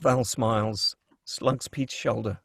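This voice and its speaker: A man's voice: mid-range voice, steady tone, takes it slowly, gravelly, a bit bright, a little hiss or hum. A man narrating a screenplay, reading out scene headings and stage directions. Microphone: close, dry microphone.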